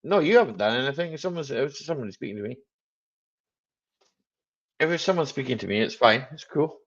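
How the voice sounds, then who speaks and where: A man with a strong Scottish Highland accent hosting a casual live chat, joking, laughing often and dropping "aye" and "eh" into his talk. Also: expressive, brisk, lo-fi sound. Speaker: livestream host.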